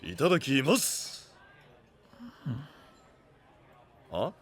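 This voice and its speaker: A man playing a rough-talking but big-hearted young guardian and gang boss in an anime voice drama, blunt and reassuring. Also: mid-range voice, sing-song, a little slow. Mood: relaxed, reassuring. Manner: blunt, tough street talk, reassuring.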